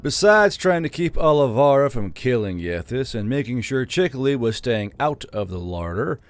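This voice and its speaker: Male audiobook narrator, steady. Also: mid-range voice. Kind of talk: scripted fantasy narration.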